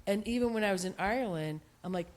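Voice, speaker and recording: A woman's voice: medium pitch, quick pace, darkish tone. A woman with a Boston accent, asking a question from the audience in a chatty, self-deprecating way. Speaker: audience member.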